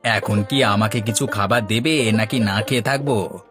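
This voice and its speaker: A man's voice: on the low side, normal speed, over music, lightly acted. A man voicing a henpecked village husband in a cartoon, placating and grumbling with dry jibes. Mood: placating, muttering. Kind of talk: Bangla cartoon voice acting.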